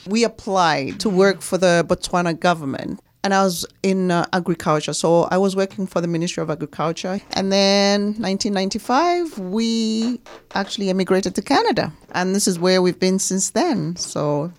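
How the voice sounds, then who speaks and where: A middle-aged woman from Zambia, a guest mother telling her life story in a relaxed interview, often adding "right?" and "you know". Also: mid-range voice, moderate pace, no room sound.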